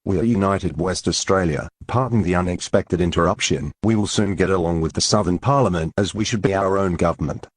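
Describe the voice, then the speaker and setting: A man reads news and alert announcements in a very low voice with a husky edge. The delivery is formal and stiff, and the sound is close and dry. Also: a little fast, few pauses, no room sound.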